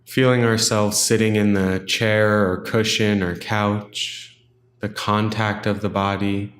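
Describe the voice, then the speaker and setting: A man leading a guided meditation in a gentle, unhurried, instructional way, leaving long pauses between phrases. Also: on the low side, flat delivery, reverberant.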